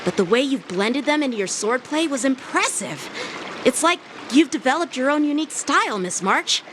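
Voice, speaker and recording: A woman's voice: high-pitched, animated, normal speed, noisy background. A woman voicing an earnest, encouraging young sword instructor in an anime game, speaking articulately.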